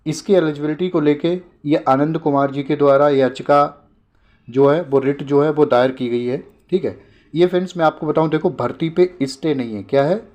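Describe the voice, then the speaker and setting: A man's voice: on the high side, moderate pace, a little mellow, dull audio. A man hosting an informal news explainer, repeating points and posing short questions that he answers himself. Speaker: host of an exam updates channel.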